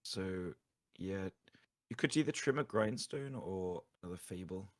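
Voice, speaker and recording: Low-voiced, slightly husky man coaching gameplay. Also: animated, measured pace. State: tired voice going hoarse. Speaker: guest player coaching a card game stream. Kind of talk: casual live game commentary.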